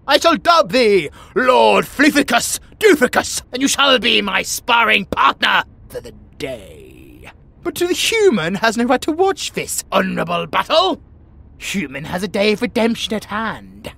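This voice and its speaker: A man's voice: high voice, very animated, measured pace, creaky, sharp tone, full character voice. A British man voicing a bratty, self-important tiger warrior in a comedic audio roleplay, haughty, demanding and full of theatrical exclamations. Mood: playful grandiose.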